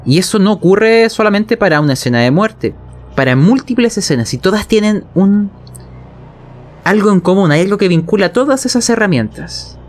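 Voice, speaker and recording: Chilean man, higher-pitched voice, colloquial game master teaching storytelling and checking that listeners follow. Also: expressive, moderate pace. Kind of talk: explanatory conversation on tabletop role-playing narration.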